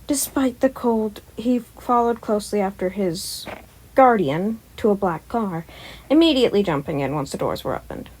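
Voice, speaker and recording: A woman reads a story aloud as an amateur narrator. Her voice sits at an ordinary pitch, and she delivers the prose expressively, like someone sharing fan fiction. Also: expressive, moderate pace, darkish tone, a little hiss or hum, low-quality recording.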